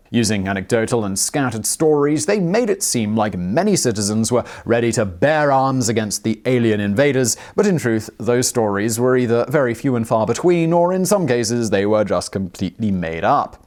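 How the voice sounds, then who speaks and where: A man's voice: mid-range voice, lively intonation, normal speed, few pauses, darkish tone. Man narrating a scripted history explainer.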